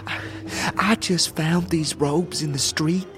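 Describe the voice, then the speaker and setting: A woman's voice: low-pitched, fairly even intonation, slow pace, music in the background. A woman playing a frightened, hesitant debtor in an audio drama, stammering and pleading as she speaks. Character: nervous, frightened woman deep in tavern debt.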